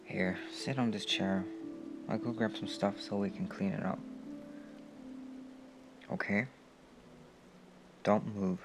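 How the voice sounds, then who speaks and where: Man playing slow, creaky-voiced caring comrade. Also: on the low side, fairly even intonation, over music. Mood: alarmed, insistent. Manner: soft-spoken, hushed, soothing, with unhurried pauses. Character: caring male anarchist comrade, protective, gently scolding, tending a friend. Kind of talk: comfort roleplay audio.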